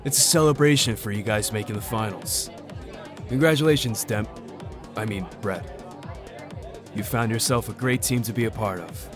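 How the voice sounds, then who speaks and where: A man's voice: on the low side, normal speed, music in the background, lightly acted. A man voicing a congratulatory young male friend in a visual novel.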